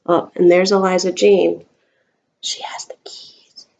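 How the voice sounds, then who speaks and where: A woman reading a picture book aloud to a young child, expressive and coaxing, in the manner of a teacher. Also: on the low side, measured pace, gravelly. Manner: expressive, coaxing talk aimed at small children.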